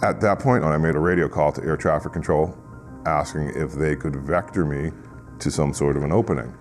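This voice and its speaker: Middle-aged male pilot, reflective interview telling, very low voice, music under. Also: steady tone. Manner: reflective, halting restarts. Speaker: private pilot. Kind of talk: interview recounting an event.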